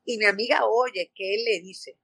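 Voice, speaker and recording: A woman's voice: mid-range voice, normal speed, vocal fry, breathy edge, no echo. A Puerto Rican woman, an actress, telling stories in an interview with lots of fillers and restarts. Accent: Puerto Rican Spanish. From Puerto Rico. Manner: animated storytelling with many fillers and restarts. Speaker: actress guest. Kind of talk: conversational interview.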